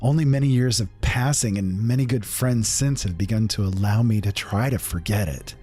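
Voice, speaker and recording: An actor playing a sombre, sympathetic man who gravely narrates a fantasy tale in a measured, reflective way. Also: on the low side, dark tone, faint music behind. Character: sombre, sympathetic male listener retelling a companion's confession. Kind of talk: reflective first-person fantasy storytelling.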